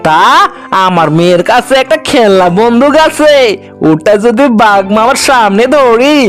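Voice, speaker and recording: A man's voice: very high-pitched, animated, unhurried, soft background music. A man voicing a bossy, boastful fox thief in a comic cartoon, blustering and scolding. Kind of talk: comic Bengali cartoon dialogue.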